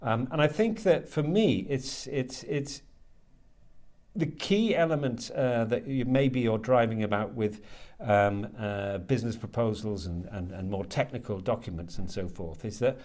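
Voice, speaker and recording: A man answering interview questions as a writer and lecturer, thinking aloud haltingly and often repeating words as he works out his ideas. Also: medium pitch, a little mellow. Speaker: guest, creative writing lecturer and writer.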